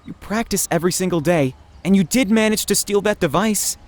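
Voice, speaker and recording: A man playing a gentle, reassuring young man in a visual novel game, with faint noise behind him. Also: high-pitched, fairly quick, natural voice. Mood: earnest, consoling.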